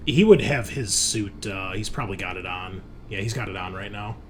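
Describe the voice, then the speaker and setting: A man's voice: fairly low pitch, a little fast, crowd noise. A man running a tabletop roleplaying game as game master, talking in a casual, conversational way.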